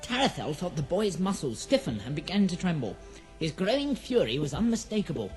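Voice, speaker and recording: A fantasy storyteller with an expressive, widely moving voice delivers poetic scripted narration. Faint music runs underneath the dramatic reading. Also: medium pitch, fairly quick, rough recording.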